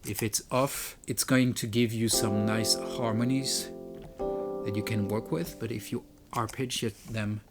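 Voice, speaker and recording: A man's voice: fairly low pitch, fairly even intonation, a little slow. A man presenting a music gear tutorial in a casual, explanatory way. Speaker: presenter demonstrating a music pedal. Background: keyboard and synth demo notes.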